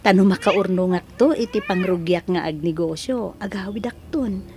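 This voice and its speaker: A woman's voice: medium pitch, normal speed, natural voice. A woman playing a hardworking, emotional Filipino mother in an audio drama, with an Ilocano accent. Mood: weary, resolute.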